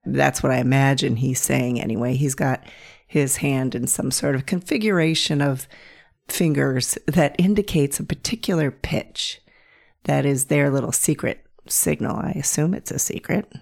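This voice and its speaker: A middle-aged woman from Pennsylvania hosting her podcast, hoarse and croaky from bronchitis, telling stories in a gentle, playful way. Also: a bass voice, normal speed. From north central Pennsylvania. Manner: gentle, playful storytelling with soft laughs. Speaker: podcast host. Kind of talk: reflective solo podcast monologue.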